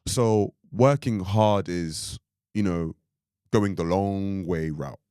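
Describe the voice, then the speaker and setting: A young man from Ireland with a very low, deep voice, chatting loosely on a podcast. He rambles, leaning on fillers like "like" and "you know what I mean". The sound is close and dry, with no room around it. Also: takes it slowly, dark tone, clear, resonant tone, no room sound. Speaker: podcast co-host. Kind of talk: casual podcast chat.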